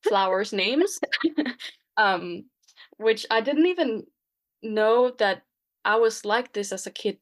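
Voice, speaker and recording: Danish-accented woman, hesitant and chatty. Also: medium pitch. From Denmark. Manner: hesitant, many fillers and restarts. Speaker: guest artist. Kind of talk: casual conversation.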